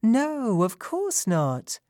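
Reader doing a soft sweet fairy lady, bit higher, gentle and slow. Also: wildly swooping pitch, dry recording, studio quality, heavily acted.

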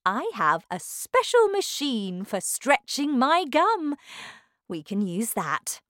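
A woman voicing an eccentric, excitable male factory owner in a children's storybook, exclaiming with showy delight. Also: fairly high pitch, takes it slowly, no echo, studio quality, pitched above the performer's own voice, full character voice. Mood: reassuring.

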